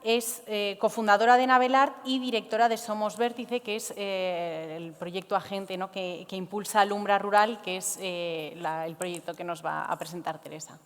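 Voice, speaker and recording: A woman presenting at a forum, introducing in a halting, hesitant way, recorded in a reverberant hall. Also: medium pitch, steady tone, clean tone. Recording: echoey hall with long reverb.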